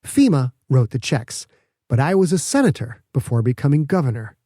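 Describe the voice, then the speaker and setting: A man playing a smug, calculating woman governor in an audiobook, speaking in a measured, pointed, condescending way. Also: mid-range voice, big pitch swings, warm tone, no echo, clean studio sound.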